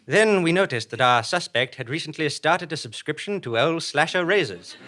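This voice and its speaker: A man's voice: on the high side, lively intonation, normal speed, crowd noise, pitched above the performer's own voice, lightly acted. A man voicing an earnest, matter-of-fact forensic pathologist in a spoof advert testimonial.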